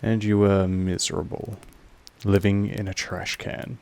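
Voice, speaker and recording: Guy doing a creaky vampire master, really slow and formal, talks down to you but kinda fond, audio roleplay. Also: on the low side, level tone, a little mellow. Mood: firm, teasing. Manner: measured, formal, condescending. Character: possessive, aloof male vampire master, patronizing yet fond. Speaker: voice actor in a roleplay audio drama. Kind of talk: slow intimate monologue addressed to the listener.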